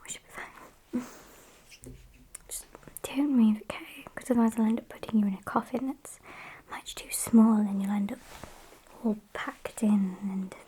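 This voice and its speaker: Casting: woman playing sassy British vampire captor for ASMR roleplay, slow breathy whisper, dryly menacing, soft mellow tone, some background hiss. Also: a little high. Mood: matter-of-fact, fussy. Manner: unhurried, chatty, deadpan. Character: sassy female vampire kidnapper, dryly menacing yet polite.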